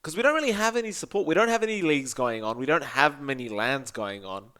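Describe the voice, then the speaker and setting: A young Australian man with a fairly high-pitched voice, talking into a podcast mic. He rants bluntly and swears freely, keeps turning to his co-host by name, and sounds like an esports caster in casual chat. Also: lively intonation, quick pace, bright, forceful tone, clean tone.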